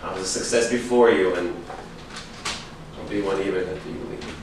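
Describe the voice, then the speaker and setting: A man's voice: deep voice, steady tone, a little fast, close to an everyday voice. An actor playing a volatile, possessive man in a staged play, accusing one moment and begging the next, recorded from a distance in an echoey room. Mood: cold, defiant. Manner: accusing, pleading, swinging from bullying to begging. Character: volatile, possessive alcoholic man, a desperate failing writer. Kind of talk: staged play reading, dramatic dialogue. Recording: echoey room, distant microphone, faint noise.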